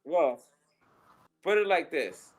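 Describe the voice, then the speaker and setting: A man's voice: on the high side, animated, slow, rough recording. A man with a Puerto Rican accent, co-hosting a podcast in casual, rambling, profane chat. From Puerto Rico. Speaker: podcast co-host.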